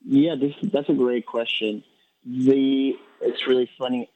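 A man from Ecuador, a poet being interviewed, answering in a quick, halting way with many restarts and fillers. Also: medium pitch, level tone, narrow, tinny audio, slight background noise. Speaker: poet guest.

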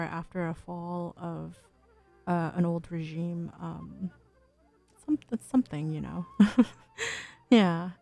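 A woman's voice: on the low side, darkish tone. A young woman hosting a college radio show, talking casually between songs with lots of ums and little laughs. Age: young adult. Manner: chatty, frequent ums, giggles often.

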